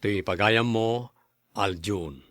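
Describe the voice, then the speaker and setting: A man hosting a radio drama program, delivering scripted announcements in a measured, formal way. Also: on the low side, a bit bright, dry recording, studio quality.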